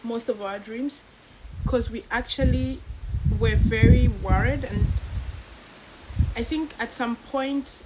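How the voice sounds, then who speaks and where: Reflective woman guest, fairly high voice. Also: slow pace, pauses often, very noisy. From Zambia. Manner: thoughtful, reflective, many fillers. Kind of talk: casual chat with a friend.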